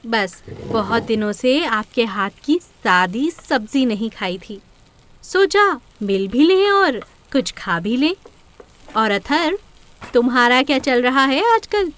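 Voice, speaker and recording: High-voiced sly neighbour lady. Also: animated, slow, fairly bright tone. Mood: sweetly sly. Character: crafty, sly married neighbour woman, sweetly provocative. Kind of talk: Hindi audio drama acting.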